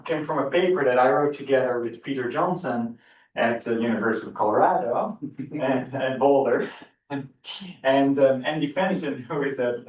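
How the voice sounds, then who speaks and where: A man's voice: mid-range voice, darkish tone. A middle-aged man with a Dutch accent gives a university seminar lecture in a room, eager and full of repeated words and restarts. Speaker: biology professor giving a seminar. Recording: echoey room, far from the mic.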